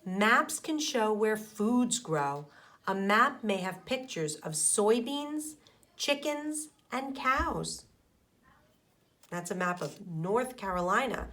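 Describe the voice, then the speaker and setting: Slow-paced woman teacher reading to children. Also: medium pitch, a bit bright. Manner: simple, deliberate phrasing for young children, with questions to listeners. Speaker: elementary school teacher. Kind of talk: children's picture book read-aloud with explanations.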